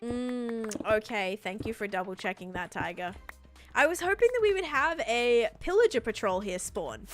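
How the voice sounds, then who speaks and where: A woman's voice: on the high side, expressive, moderate pace, a bit bright. A woman chatting casually with viewers during a gaming live stream, thinking aloud in an informal way as she plays. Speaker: live streamer playing a video game. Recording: music behind the voice. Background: background music.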